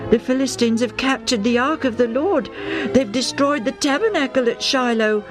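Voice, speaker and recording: Worried boy, a woman doing him, high, pleading questions, faint music. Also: normal speed, lightly acted. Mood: anxious. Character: anxious young boy, worried son.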